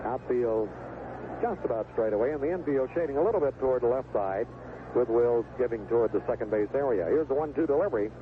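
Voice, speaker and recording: A man's voice: mid-range voice, expressive, fast, phone-quality sound. A man calling baseball play-by-play live on the radio, heard through a noisy old broadcast recording with stadium ambience behind him. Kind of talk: live radio sports commentary.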